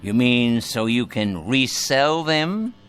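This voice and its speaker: Slow, theatrical old grandfather. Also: medium pitch, lively intonation, a bit bright, soft background music. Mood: sly, relishing. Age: older. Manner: deliberate, theatrical, probing, sardonic. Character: sly, imperious dying grandfather toying with his heirs. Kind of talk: radio drama acting.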